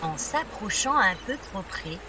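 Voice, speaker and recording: A woman narrating fairy tales for small children in an expressive, deliberate scripted style. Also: mid-range voice, background noise. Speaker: children's storyteller. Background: music.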